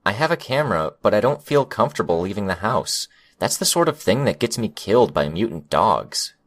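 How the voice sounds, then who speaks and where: A man's voice: fairly low pitch, sing-song, brisk, no room sound, clean studio sound. A man voicing a boastful, evasive young internet forum poster in an audiobook, with a defensive manner. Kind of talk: audiobook character acting.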